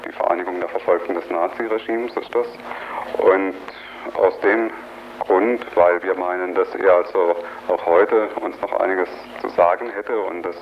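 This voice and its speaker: A man with a very low voice gives an unscripted announcement as a radio guest. He goes haltingly, pausing mid-sentence to find words. The recording is dull and lo-fi with faint noise. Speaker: activist guest announcing an event.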